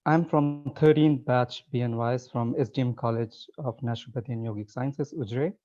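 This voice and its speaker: A man, giving a relaxed, conversational talk as a webinar guest speaker over a video call. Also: mid-range voice, moderate pace. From Nepal. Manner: relaxed and conversational, with frequent restarts. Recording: slightly muffled webinar audio.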